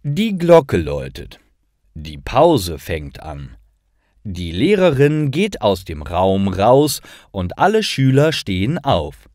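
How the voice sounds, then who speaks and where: A man reading scripted stories for language learners, with deliberate, careful phrasing. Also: fairly low pitch, huge pitch swings, darkish tone, no echo, clean studio sound.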